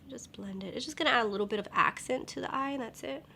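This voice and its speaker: Young woman beauty vlogger, a bit high and slightly nasal, upbeat, chatty. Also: fast talker, vocal fry, slightly rough. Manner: chatty, upbeat, drops to soft whispers while blending. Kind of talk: casual makeup tutorial chat.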